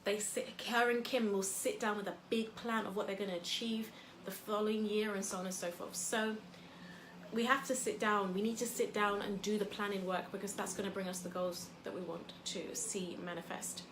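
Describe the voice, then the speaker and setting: A woman's voice: mid-range voice, fairly even intonation, normal speed, a bit bright, echoey room, a little hiss or hum, low-quality recording. A woman from England hosting a casual motivational talk. She talks in a chatty, rambling way with lots of fillers. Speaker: host.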